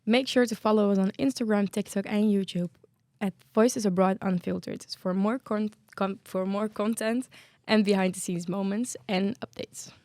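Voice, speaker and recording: A woman's voice: mid-range voice, fairly even intonation, moderate pace, a little mellow, no room sound. A young woman hosting a podcast about student life, chatty and tripping over a word now and then. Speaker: podcast host.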